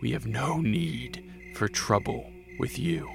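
Brooding male vampire storyteller: gravelly, measured, grave, over a prominent music bed. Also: medium pitch, lively intonation, heavily acted. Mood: grave, guarded. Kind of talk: scripted radio drama narration and dialogue.

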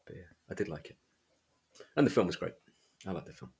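A man with a deep, somewhat rough-edged voice hosts a casual book discussion. He talks haltingly, doubling back and repeating phrases as he works through his thoughts. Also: expressive, a little mellow. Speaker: podcast host.

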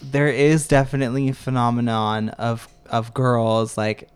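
A soft-spoken man in a casual group chat, a bit whiny and playful in tone. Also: medium pitch, flat delivery, measured pace, smooth.

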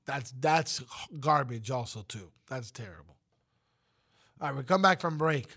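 A man's voice: mid-range voice, animated, a little slow, creaky, sharp tone. A man hosting a morning radio show, chatting casually and joking with his co-hosts.

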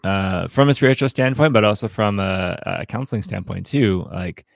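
A man co-hosting a podcast in casual, reflective discussion, speaking haltingly and often repeating words as he restarts. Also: lowish voice, vocal fry, no room sound, studio quality. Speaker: podcast co-host.

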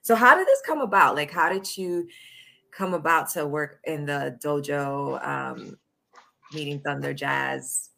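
A woman's voice: sits low, unhurried, vocal fry, bright, forceful tone. A woman co-hosting an interview, chatty and stumbling over her questions. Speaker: interview co-host.

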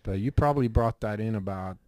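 A middle-aged man from Switzerland, a health shop owner talking as a guest in a casual interview, relaxed and rambling with many fillers. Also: on the low side, brisk, no room sound.

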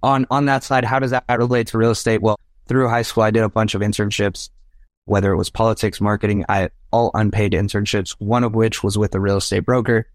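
A man's voice: lowish voice, flat delivery, brisk, no room sound. Young man telling his story, dry sound. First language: Spanish. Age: young adult. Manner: earnest, anecdotal, often checks in with "right?". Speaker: podcast guest. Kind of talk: casual interview storytelling.

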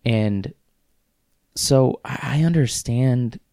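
A man hosting a solo podcast, rambling in a casual, self-deprecating way with halting restarts and a sniffle. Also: mid-range voice, mellow, no echo, studio quality. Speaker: podcast host.

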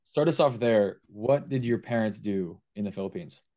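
A man's voice: lowish voice, normal speed, mellow. A man hosting a podcast, asking laid-back follow-up questions in a casual tone. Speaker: podcast host.

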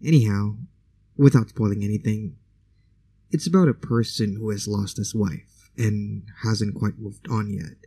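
A man's voice: lowish voice, measured pace, dark tone, slightly rough. A man whose first language is Indonesian, hosting a solo podcast in a conversational, opinionated way with casual asides. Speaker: podcast host.

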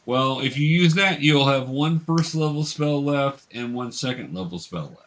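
Male game master, mid-pitched voice, instructional and conversational, patient step-by-step delivery. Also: expressive, normal speed, fairly bright tone. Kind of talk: casual tabletop game instruction.

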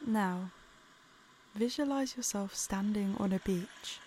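A woman narrating a guided meditation in a calm, soothing, unhurried way. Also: medium pitch, a little hiss or hum.

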